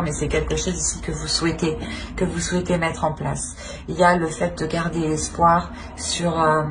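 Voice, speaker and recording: A woman giving an unscripted tarot reading to viewers, feeling her way through the meaning by repeating and rephrasing. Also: low-pitched. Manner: hesitant, searching, repeats and rephrases phrases. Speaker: tarot reader, channel host. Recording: clear background noise.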